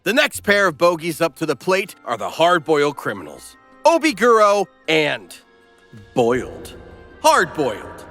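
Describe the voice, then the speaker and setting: Male recap narrator, bright forceful high voice, mock-serious and sarcastic. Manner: mock-dramatic, sarcastic asides. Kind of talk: scripted comedic recap narration.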